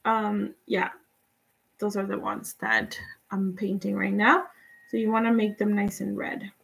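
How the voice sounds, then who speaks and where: A young woman from Guatemala whose first language is Spanish, chatting relaxed and giving step-by-step tips in a live painting tutorial. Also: mid-range voice, measured pace, creaky, low-quality recording. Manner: relaxed, chatty, laughs often, answers viewers' comments. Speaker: painting instructor.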